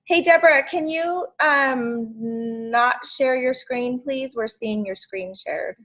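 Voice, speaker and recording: A woman's voice: sits high, takes it slowly, a bit bright. Upbeat chatty woman hosting a call. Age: 50s. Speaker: team leader hosting a sales training call. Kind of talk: casual video call meeting. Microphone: video call.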